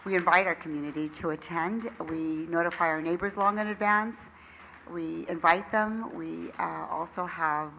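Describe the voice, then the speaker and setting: Older woman, school owner, friendly public comment at a lectern mic, lowish bright voice. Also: vocal fry, a little hiss or hum, poor audio. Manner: friendly, inviting, a little hesitant. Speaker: nursery school owner addressing council. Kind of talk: public comment at a council meeting. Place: council chamber, standing at a lectern. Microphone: lectern microphone.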